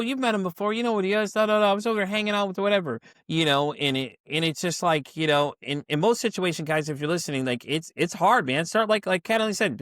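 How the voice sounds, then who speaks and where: A man's voice: a little high, a bit bright, no echo, studio quality. A man hosting a podcast interview, talking in a rambling way, full of "like" and "you know". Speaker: podcast host.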